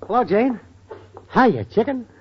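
An American actor playing a lazy, scheming boyfriend with slangy street talk in a 1940s radio comedy. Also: male voice, very high voice, sing-song, unhurried, heavily acted. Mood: breezy. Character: slangy scheming small-time male boyfriend, lazy dreamer. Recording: dull, noisy old radio broadcast.

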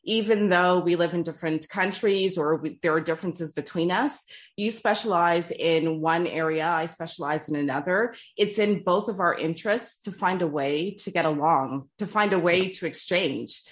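A woman hosting a casual interview, lively and quick to interject with questions. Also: fairly low pitch. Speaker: podcast host.

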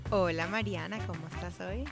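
A woman's voice: on the low side, fairly even intonation, normal speed, creaky, music in the background. A woman co-hosting a casual podcast, explaining things emphatically and dropping in the odd English word. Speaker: podcast co-host. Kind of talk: casual conversational podcast talk.

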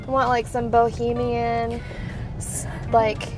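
A woman in her thirties talks loosely and casually, sprinkling in fillers and the odd swear word. Her voice sits a bit high, and music plays clearly behind her. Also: monotone, slow, low-quality recording. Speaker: podcast co-host. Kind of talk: casual chat.

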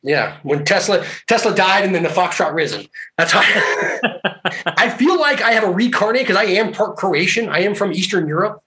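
Rambling, cursing man with a bright voice. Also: high-pitched, expressive, moderate pace. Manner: rambling, profane, laughs often. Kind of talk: casual chat.

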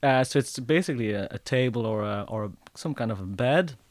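A man's voice: mid-range voice, expressive, fairly quick, dry recording. A man in his thirties from Belgium with a Flemish accent, speaking English as a second language, talking casually with frequent fillers and restarts. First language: Dutch (Flemish). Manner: hesitant, many restarts and fillers. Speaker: guest, motorbike traveler. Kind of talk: casual conversation.

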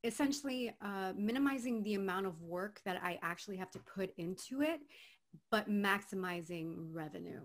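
A woman's voice: mid-range voice, moderate pace. A woman from Miami, Florida, a voice actor who is a guest on an interview podcast, talking in an upbeat way and laughing often. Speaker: guest, voice actor and agency owner. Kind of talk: conversational interview.

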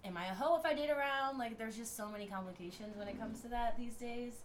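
A woman's voice: a little high, fast, slight background noise. A woman in her twenties chatting on a podcast, leaning on "like" and sounding exasperated. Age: 20s. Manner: chatty, says "like" a lot, exasperated. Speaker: co-host. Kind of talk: casual podcast chat.

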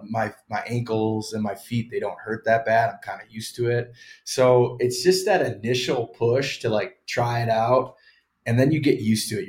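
A man in his thirties, a former pro hockey player, explaining things casually as a podcast guest and hedging his claims. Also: medium pitch. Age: 30s. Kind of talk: casual interview chat.

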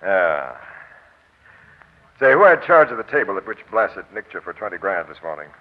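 An actor playing a tough, no-nonsense police captain, blunt and commanding, in a 1940s radio crime drama. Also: male voice, sits low, big pitch swings, normal speed. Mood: dry, probing. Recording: dull, band-limited old radio broadcast.

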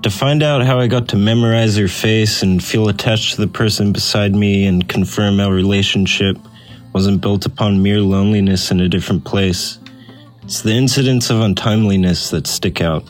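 A man with a low voice reads a personal essay as scripted narration. Faint guitar music plays behind him. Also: fairly even intonation, moderate pace. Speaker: essay reader.

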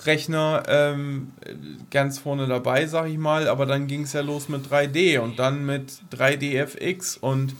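A man co-hosting a podcast, chatting casually and colloquially and rambling along. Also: medium pitch. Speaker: podcast co-host. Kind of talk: casual chat about science fiction.

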